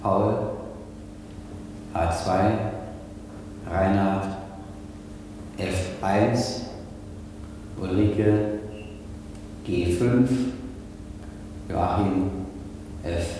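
A man's voice: deep voice, drawn-out words, long pauses. A man calling out short names and positions, one at a time. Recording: echoey noisy hall, distant mic, hum.

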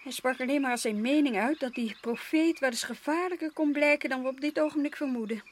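An actress as a higher-voiced, weary colonial wife. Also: female voice, brisk, natural voice. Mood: warning, reproachful. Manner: pointed, reproachful. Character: weary, disillusioned colonial official's wife, sharp-tongued. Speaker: radio play actor. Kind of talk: radio drama acting in Dutch.

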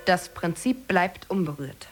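A woman's voice: fairly low pitch, expressive, unhurried. A woman playing a formal announcer who gives terse headings and questions in a scripted radio play. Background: music.

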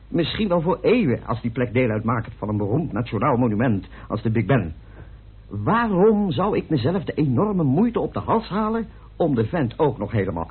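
An amateur detective argues his case emphatically in a radio play, throwing out rhetorical questions. His voice is very raspy and animated. The old recording sounds boxed-in and narrow. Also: on the high side, full character voice. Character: argumentative, reasoning male amateur detective.

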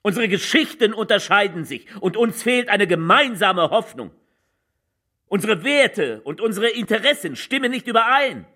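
An actor playing a political orator who delivers a bleak, defeatist speech in a theatrical radio play, declamatory and repetitive, with a husky voice. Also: male voice, high-pitched, very animated, sharp tone, no echo, clean studio sound, full character voice. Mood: strained, desperate. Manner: declamatory, repeating phrases with rhetorical pauses.

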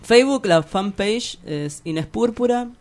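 A woman's voice: medium pitch, lively intonation, a little slow, darkish tone. A young Argentine woman with a Rioplatense accent, giving a casual interview in a rambling, hesitant, slangy and giggly way. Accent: Rioplatense Spanish. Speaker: interview guest, poet.

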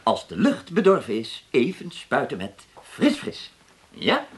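Bossy, wildly swooping commercial director. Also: male voice, medium pitch, wildly swooping pitch, measured pace. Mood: coaxing. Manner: theatrical, barking set commands. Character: bossy, impatient male commercial director coaching a nervous amateur. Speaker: actor in a radio comedy. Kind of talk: 1980s radio comedy acting.

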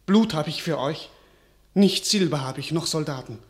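A beleaguered king in a classic radio play, voiced by a man, swinging between weary resignation and impassioned outcry. His voice sits a little high and he declaims in measured verse. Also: lively intonation, lightly acted. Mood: desperate. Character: beleaguered young king, weak and desperate. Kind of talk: 1950s-style German radio drama acting.

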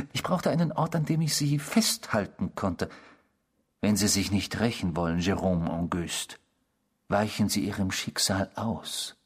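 Husky, smug male tormentor. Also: low-pitched, measured pace. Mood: boastful. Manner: needling, sardonic, self-assured. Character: taunting, smug, mysterious man claiming to be a murderer. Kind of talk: German radio drama acting.

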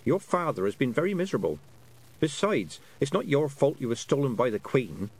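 A man's voice: mid-range voice, very animated, brisk, a little mellow, pitched above the performer's own voice. A man reading aloud, voicing a sensible, practical young girl who speaks plainly and directly. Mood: level.

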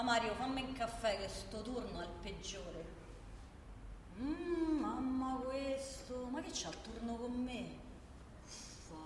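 An actress as a high-pitched, feisty Roman working woman. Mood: grumbling. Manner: exasperated, repetitive, colloquial, badgering. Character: feisty working-class woman arguing for high-speed rail. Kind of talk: theatrical radio drama monologue. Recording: distant, echoey hall with noise.

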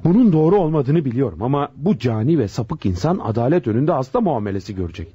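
An actor playing an indignant junior police detective, emphatic and theatrical, in a radio drama. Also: male voice, medium pitch, very animated, quick pace, warm tone, highs cut off, natural voice. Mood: bitter indignation. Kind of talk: Turkish radio drama acting.

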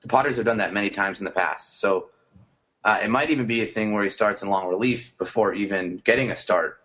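A man's voice: lowish voice, brisk, fairly bright tone. A man co-hosting a casual sports podcast, talking loosely with many fillers and restarts. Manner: conversational, frequent fillers and restarts. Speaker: podcast co-host. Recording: dull lo-fi podcast audio.

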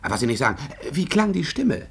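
Excitable, husky young-spirited sleuth's assistant. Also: male voice, fairly high pitch, quick pace, full character voice. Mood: curious, pedantic. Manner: fussy, excitable, stammering with interjections. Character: eager, pedantic male assistant investigator, bored and craving a murder. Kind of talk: 1950s German radio drama acting.

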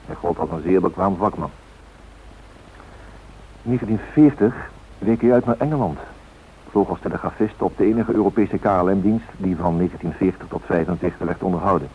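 Deep-voiced solemn actor as radio narrator. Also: male voice, close to an everyday voice. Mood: solemn, factual. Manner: measured, solemn. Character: solemn, reflective male documentary-drama narrator. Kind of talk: scripted narration in a radio play. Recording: tinny hissy old radio recording.

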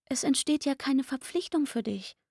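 An actress playing a pleading young chambermaid in a radio play, speaking intimately and wistfully. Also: female voice, high voice, moderate pace, vocal fry, darkish tone, no echo, clean studio sound. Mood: coaxing, pleading. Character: pleading young chambermaid luring a man into secret shelter. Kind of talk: literary radio play acting.

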